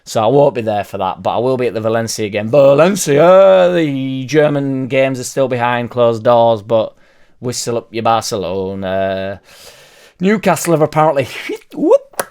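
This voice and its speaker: A young man, hoarse from losing his voice, ranting casually about football with loud bursts and swearing. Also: medium pitch, animated, moderate pace. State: hoarse, partly lost voice. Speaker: football fan vlogger. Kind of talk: casual rambling fan vlog.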